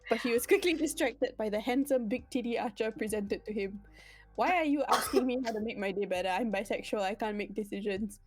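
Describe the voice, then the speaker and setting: A woman's voice: fairly high pitch, fairly quick, faint music behind. A giggly woman reading a story comically on a stream, breaking off to make asides. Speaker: streamer reading fan fiction aloud.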